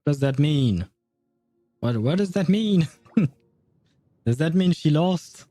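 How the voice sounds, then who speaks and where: A man's voice: a little high, animated, slow, warm tone, dry recording. A man giving casual live commentary as a game streamer, often hesitating as he searches for words. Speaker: game livestreamer.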